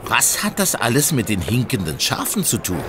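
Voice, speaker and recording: A man's voice: mid-range voice, huge pitch swings, moderate pace, noisy background. A man playing an inquisitive, appreciative police inspector in a German audio drama. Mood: curious, impressed.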